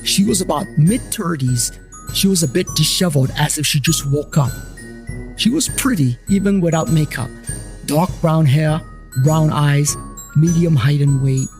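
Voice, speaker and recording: A man's voice: a little high, moderate pace, darkish tone, faint music behind. A man reading a scripted first-person story as its storyteller.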